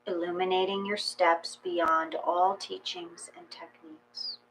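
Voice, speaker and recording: Slow, calm woman guiding meditation. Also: on the low side, poor audio. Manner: slow, calm, measured reading with long pauses. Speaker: meditation guide. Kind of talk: guided meditation reading. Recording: faint mains hum.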